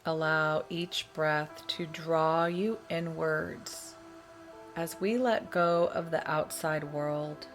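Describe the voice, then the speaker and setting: Slow, low-voiced woman guiding meditation. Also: steady tone. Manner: soothing, measured instruction with long pauses between phrases. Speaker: meditation guide. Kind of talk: guided meditation. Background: meditation music.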